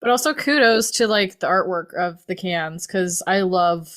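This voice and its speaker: A woman's voice: fairly low pitch, moderate pace, fairly bright tone. A woman co-hosting a podcast in casual conversation. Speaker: podcast co-host. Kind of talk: casual chat.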